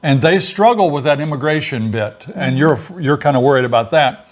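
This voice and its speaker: An older man in a relaxed, folksy co-host chat, his voice sitting a little high for a man. He rambles and explains at an easy pace, with plenty of "you know" along the way. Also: a little fast. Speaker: co-host of a retiree channel. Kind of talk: casual chat.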